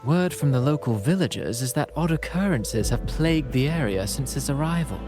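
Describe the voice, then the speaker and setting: A man acting as a courteous playwright from a video game, speaking in eloquent, measured phrases with a theatrical flair. His voice sits at a middling pitch. Music plays clearly behind him. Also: lively intonation, close to an everyday voice. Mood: courteous, musing. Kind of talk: scripted game dialogue.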